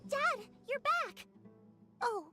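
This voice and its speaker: A woman's voice: very high voice, lively intonation, drawn-out words, pushed and bright, heavily acted. An actress voicing a small, cutesy childlike girl in a video game. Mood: shy, sweet. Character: small childlike girls: shy daughter, floating companion. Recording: game music behind.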